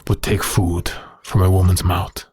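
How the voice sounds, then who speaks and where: Very husky, deep, haunted werewolf. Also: male voice, flat delivery, darkish tone, no room sound. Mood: haunted sorrow. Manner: slow, halting, brooding delivery. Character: bitter haunted male werewolf prisoner recounting his past. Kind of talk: dramatic audio drama monologue.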